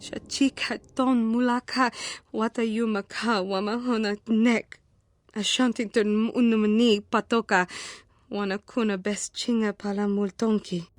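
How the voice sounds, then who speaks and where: An actress playing a guarded, defiant former apprentice in a video game, speaking an invented alien language in clipped phrases. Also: female voice, fairly high pitch, steady tone, a little slow, no echo, studio quality, lightly acted.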